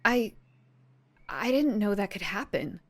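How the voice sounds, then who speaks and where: A woman playing an earnest, excitable young superhero fan in an audio drama. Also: medium pitch, a little slow, lightly acted. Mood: shaken.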